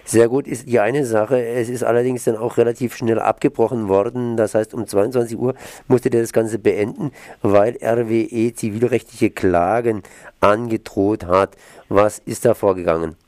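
A man's voice: fairly low pitch, fairly even intonation, normal speed, a little mellow, no echo. A man hosting an informal radio interview, putting questions to his guest.

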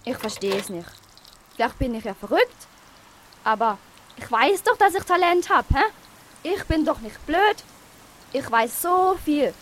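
A wannabe gangster rapper played by a woman talks in pushy Swabian slang, brash but wounded. The voice is on the high side for a radio play, with slight background noise. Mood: hurt, indignant. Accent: Swabian German. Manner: slangy, pushy, punctuates talk with "hä".

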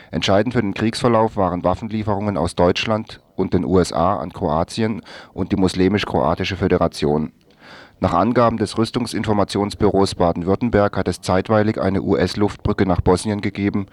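A man reads scripted radio news in a low voice. The sound is very dry, with hardly any room around it. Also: dry recording.

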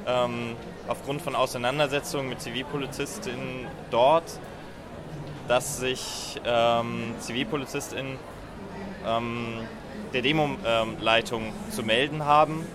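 A man, an activist being interviewed outdoors at a street festival, speaking in a halting way with frequent fillers. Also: mid-range voice, fairly even intonation. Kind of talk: casual spoken interview. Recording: loud crowd around.

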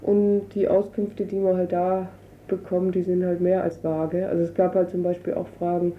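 A woman's voice: lowish voice, little pitch movement, moderate pace. A woman giving spontaneous interview explanations in a colloquial, hesitant way, searching for words as she goes. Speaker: interviewee, refugee council representative. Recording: dull lo-fi radio recording, noisy.

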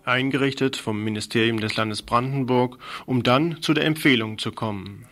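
A man's voice: mid-range voice, normal speed, fairly bright tone, slight background noise. A man presenting a radio news programme, reading scripted reports.

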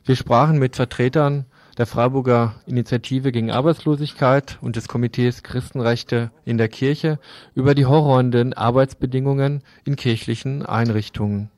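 A man's voice: medium pitch, fairly even intonation, normal speed, darkish tone, no room sound. A man reading scripted news introductions as a radio presenter.

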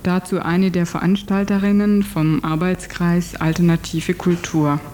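A woman's voice: lowish voice, little pitch movement, a little slow. A woman working as a radio presenter, introducing an item and putting questions to a guest. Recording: faintly noisy 1990s radio recording.

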